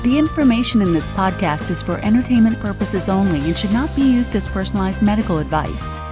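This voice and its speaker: A woman's voice: mid-range voice, lively intonation, a little fast. A woman reading a polished, scripted podcast introduction as announcer. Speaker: show announcer. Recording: intro over loud music.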